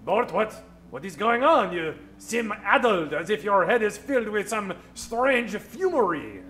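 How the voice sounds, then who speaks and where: A man playing an excitable, pompous scientist in an old-time radio comedy, theatrical and exclaiming. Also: high voice, unhurried, exaggerated voice. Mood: absorbed, puzzled. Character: excitable eccentric French male scientist, pompous and mad.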